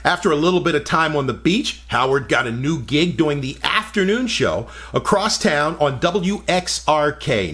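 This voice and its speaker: A man's voice: on the high side, a bit bright. A man presenting an archive channel, reading scripted narration.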